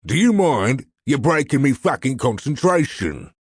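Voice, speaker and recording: A man voicing an irritable, foul-mouthed crook with a gruff, gravelly voice and a snapping, impatient manner in an audiobook. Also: medium pitch, sing-song, measured pace, no echo, studio quality, voice pushed up. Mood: irritated.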